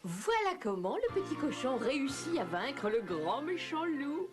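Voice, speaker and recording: A woman's voice: high-pitched, very animated, unhurried. A woman storyteller reading scripted narration for a children's fairy tale. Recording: music and crowd noise behind.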